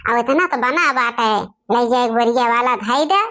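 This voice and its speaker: A woman's voice: a little high, normal speed, fairly bright tone, lightly acted. A woman voicing bickering village sisters-in-law in an Awadhi family comedy cartoon, with an Awadhi accent and a complaining singsong manner. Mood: busy, practical.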